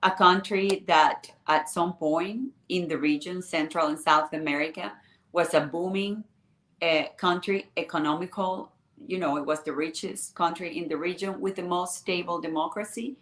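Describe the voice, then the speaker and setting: A woman speaks as a political candidate, pressing her case through earnest, repeated rhetorical questions. Her voice sits somewhat low for a woman. The recording has a noticeable roomy echo around it. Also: fairly even intonation, normal speed, fairly bright tone. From Venezuela. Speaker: congressional candidate. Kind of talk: political interview answers.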